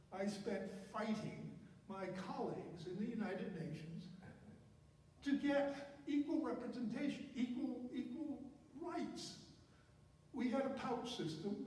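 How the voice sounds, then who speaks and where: A man's voice: high voice, a little mellow. An older man telling personal career stories to an audience in an emphatic way, often repeating words for effect. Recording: very echoey hall, distant mic, faint noise.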